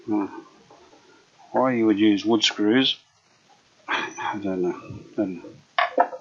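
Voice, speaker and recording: A man restoring an old radio, chatting casually as he works, unhurried and full of fillers. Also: fairly low pitch, fairly bright tone. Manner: unhurried, thinking aloud with many fillers. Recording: dull lo-fi workshop recording.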